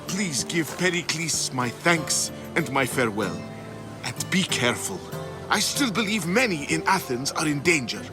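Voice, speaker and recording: Gentle Athenian, loud music behind. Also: male voice, a little high, sing-song, moderate pace. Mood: grateful. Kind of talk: video game dialogue acting.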